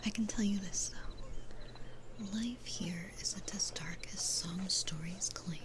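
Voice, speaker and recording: A woman voicing a sweet, gentle demon florist in an ASMR roleplay, speaking in a soothing, breathy whisper with unhurried pauses. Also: mid-range voice, close to an everyday voice. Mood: gentle, reassuring.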